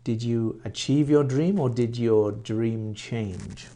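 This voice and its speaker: Slow gravelly man, English-Welsh accent. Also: medium pitch, warm tone. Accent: mix of English and Welsh. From England. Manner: repeats words and asks the listener questions. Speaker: English teacher. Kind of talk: slow, simple English for learners.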